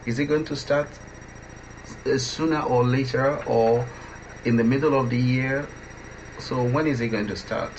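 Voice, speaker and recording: A man hosting a conversational radio interview, halting and repeating himself as he asks questions. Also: mid-range voice, fairly even intonation. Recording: dull, faintly noisy line.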